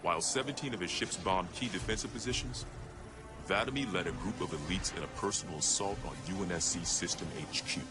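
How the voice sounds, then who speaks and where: A male actor playing a stern military intelligence officer, narrating an enemy dossier in a measured, formal, grave manner. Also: low voice, natural voice. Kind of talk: scripted dramatic briefing narration. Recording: game cutscene audio with music underneath. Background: music.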